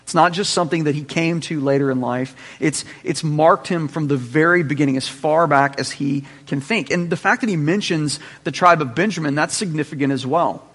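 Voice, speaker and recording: A man with a slightly higher voice teaches a Bible class in a relaxed, conversational way. He often checks in with his listeners as he explains. His voice carries a long reverb, as if recorded in a large hall. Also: a little fast, few pauses.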